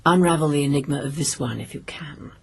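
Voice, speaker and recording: An actress playing a cold, menacing noble mother, speaking in measured, cryptic lines that sometimes sink to a whisper. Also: low voice, creaky, a little hiss or hum. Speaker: princess. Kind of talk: radio drama acting.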